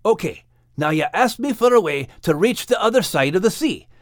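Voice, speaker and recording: A man's voice: high-pitched, animated, normal speed, dry recording, studio quality, pitched above the performer's own voice. A man voicing a cheerful, teasing, brave male dog with a Scottish accent in an animal story. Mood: earnest, encouraging.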